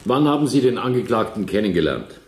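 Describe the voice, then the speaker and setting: An actor playing a stern, authoritative presiding judge in a radio play, questioning formally. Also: male voice, fairly low pitch, big pitch swings, a little fast, a little hiss or hum. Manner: formal, interrogating. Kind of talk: German radio play courtroom acting. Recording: echoey 1970s radio drama studio.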